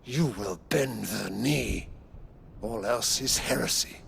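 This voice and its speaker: An actor playing a haughty, wrathful older emperor in a video game drama, with a pompous, commanding manner. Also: male voice, fairly low pitch, slow, creaky, fairly bright tone, a little hiss or hum, exaggerated voice. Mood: imperious. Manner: imperious, pompous.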